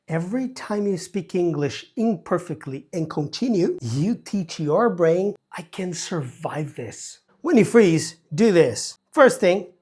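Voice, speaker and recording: A man teaching an online English lesson in a slow, emphatic way, with joking asides. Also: sits high, darkish tone.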